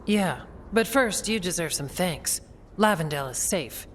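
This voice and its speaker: A woman's voice: lowish voice, lively intonation, a little slow, a little hiss or hum. An actress playing a practical, protective female warden in a fantasy game, plainspoken and firm. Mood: assured. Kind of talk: game dialogue acting.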